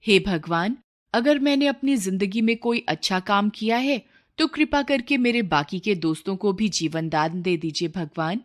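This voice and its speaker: A woman's voice: mid-range voice, moderate pace, natural voice. Woman as a humble, earnest village man praying. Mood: sad, resigned. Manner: earnest, solemn pleading. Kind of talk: moral storybook character voice.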